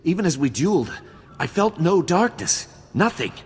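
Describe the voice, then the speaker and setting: An actor playing a weary, grieving Jedi guardian in a video game, earnest, solemn and formal. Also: male voice, on the high side, very animated, normal speed, husky. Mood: weary grief. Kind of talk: video game cutscene acting. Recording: long hall reverb, faint game music behind.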